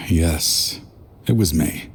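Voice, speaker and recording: A man's voice: a bass voice, breathy edge, heavily acted. An actor playing an aging, regretful former lawman in a video game, brooding and weary, with a bitter, desperate edge. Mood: weary, wry. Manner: weary, sighing, brooding delivery. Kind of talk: dramatic video game voice acting.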